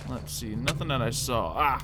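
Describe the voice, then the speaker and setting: A man talks through a video game in a casual, mild voice at an average pitch. He thinks out loud haltingly, with game music clearly playing behind him. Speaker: gamer playing a Minecraft roleplay series. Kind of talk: casual gameplay commentary.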